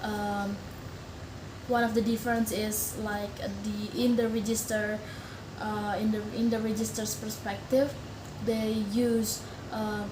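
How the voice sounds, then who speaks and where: A woman's voice: mid-range voice, flat delivery, takes it slowly. A young woman from Indonesia whose first language is Indonesian, giving a recorded class presentation in a hesitant way, with many fillers and restarts. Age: young adult. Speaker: student. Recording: echoey room with background noise.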